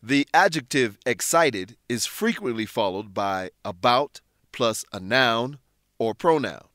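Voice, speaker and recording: A man narrating a scripted English lesson, explaining clearly and deliberately as a teacher. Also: medium pitch, expressive, a bit bright, no room sound, studio quality.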